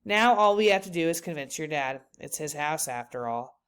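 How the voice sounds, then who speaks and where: A woman's voice: low-pitched, animated, normal speed, fairly bright tone, smooth. A woman voicing a kindly, handy old grandfather in a storybook, with a folksy, coaxing, easygoing manner. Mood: persuasive.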